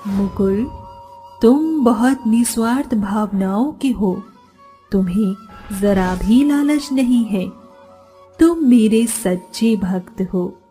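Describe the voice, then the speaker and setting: A woman's voice: medium pitch, lively intonation, slow pace, mellow, faint music behind. A woman voicing a benevolent, majestic goddess in a moral story, solemn and gracious. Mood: pleased, solemn.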